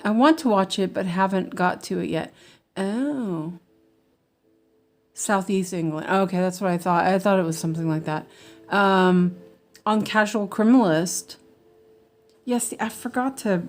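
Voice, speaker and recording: A woman's voice: lowish voice, darkish tone. An American woman chatting in a rambling, meandering way with her viewers as she stitches during a casual livestream.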